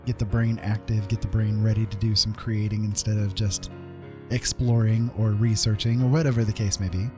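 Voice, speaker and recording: A man's voice: lowish voice, little pitch movement, brisk. A man chatting casually and laid-back on a livestream, thinking aloud as he paints. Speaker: streamer, digital painter. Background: piano music.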